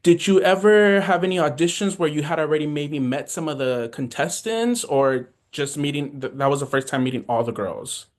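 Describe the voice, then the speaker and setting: A man hosts a casual interview, his voice a touch higher than most men's. He circles around his questions, pausing and restarting before he gets to the point. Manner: hesitant, rambling questions with fillers. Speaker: interview host.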